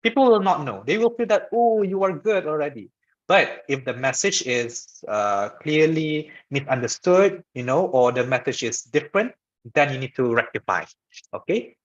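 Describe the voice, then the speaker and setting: A man's voice: a little high, animated, moderate pace. Man with Malaysian English accent, muffled call. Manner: repeats "okay" and "right" to check in. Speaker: guest speaker. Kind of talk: webinar talk answering questions. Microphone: video call. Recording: muffled lo-fi video call audio.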